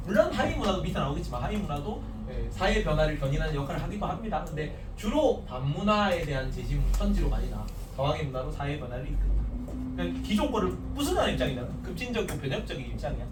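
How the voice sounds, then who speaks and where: A man with a softened Gyeongsang accent teaches a classroom full of students, and his pitch swings widely as he explains. His voice sits a little high. He was recorded from a distance in an echoey room, with faint hiss underneath. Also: quick pace. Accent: standardized Gyeongsang Korean. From Gyeongsang region. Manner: animated, talks to students casually, restarts and asides. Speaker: social studies teacher. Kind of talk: classroom lecture.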